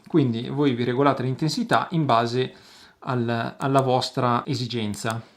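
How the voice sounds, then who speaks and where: A man giving an informal product review, explaining step by step with hesitant pauses. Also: medium pitch, steady tone.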